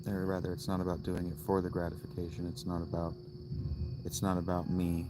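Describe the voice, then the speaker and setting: A man in his thirties chatting on a casual livestream from inside a tent, musing slowly and restarting his thoughts as he goes. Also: low-pitched, completely flat delivery, background noise. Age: 30s. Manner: slow, musing, thinks aloud with restarts. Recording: loud crickets and outdoor ambience. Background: crickets.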